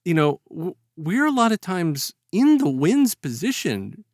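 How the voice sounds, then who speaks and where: Guy podcast host, kinda high voice, earnest and emphatic, dry close sound. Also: sing-song, measured pace, darkish tone, no room sound. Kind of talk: conversational discussion of a story's moral.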